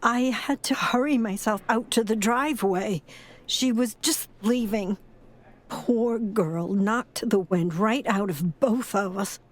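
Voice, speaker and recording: An actress playing a sorrowful old grandmother with a halting, sighing delivery in an audio drama. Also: medium pitch. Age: older. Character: frail, grieving, tough old grandmother and former foster mother.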